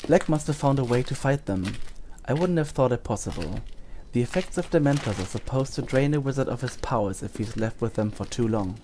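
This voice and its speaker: A man plays a kindly, tired teacher of wizardry in an audio play. His delivery is measured and grave, with a soft mellow tone. Some noise sits on the recording. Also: medium pitch, lively intonation, lightly acted. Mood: measured, reluctant. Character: Lupin, gentle weary male wizard teacher, grave and reassuring. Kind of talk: audio drama acting.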